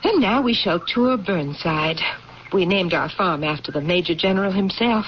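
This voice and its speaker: An actress playing a gracious, self-assured general's wife in an old-time radio drama, poised, genteel and firm. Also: female voice, fairly low pitch, expressive, moderate pace, lightly acted. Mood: chatty, hospitable. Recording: muffled, noisy old radio broadcast recording.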